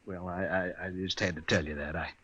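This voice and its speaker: A guilt-ridden townsman in a 1950s radio western. The voice sits quite low. He is hesitant and humble, feeling his way through rustic phrases. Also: fairly even intonation, sharp tone, lo-fi sound, a little hiss or hum. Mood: ashamed. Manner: halting, folksy, rustic speech.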